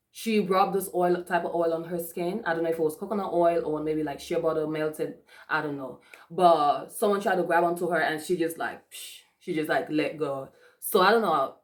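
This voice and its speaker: A young woman from Africa giving a casual, chatty movie review with lots of fillers and restarts. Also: on the low side. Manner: chatty, many fillers and restarts, frequent laughs. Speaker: vlogger.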